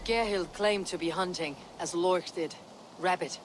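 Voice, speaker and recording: A woman plays a stern Viking warrior leader for a video game. Her voice sits fairly low, with grave, measured and archaic phrasing, and loud ambient noise runs behind her. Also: lightly acted. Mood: grave, judging. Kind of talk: video game cutscene acting.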